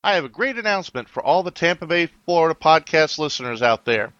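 A man's voice: on the high side, lively intonation, quick pace, clean tone, no echo, muffled recording. A man reading a prerecorded event announcement in an upbeat announcer style. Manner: bright, upbeat announcer delivery.

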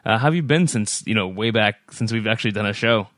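A man's voice: lowish voice, quick pace, fairly bright tone, dry recording, clean studio sound. A man hosting a podcast in a casual, friendly way, with easy filler words and restarts. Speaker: podcast host.

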